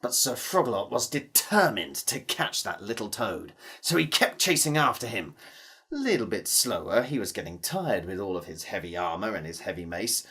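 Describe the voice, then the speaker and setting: A storyteller telling a tale to children in a theatrical manner, with dramatic pauses and questions to the listeners. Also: deep voice, very animated, pushed and bright, slightly rough.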